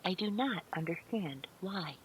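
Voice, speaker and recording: A meek, literal-minded female spirit servant acted by a woman speaks slowly in formal, stilted phrases. The fairly low voice rises and dips widely, with small hesitant hums, in an audio drama with faint hiss. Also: lively intonation, rough recording. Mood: deferential. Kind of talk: scripted audio drama roleplay.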